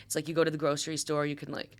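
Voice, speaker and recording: An American woman in her twenties chatting casually as a podcast guest, informal and rambling, with animated storytelling. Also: low voice, racing, no room sound. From Detroit, Michigan, USA. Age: 20s. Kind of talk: casual chat.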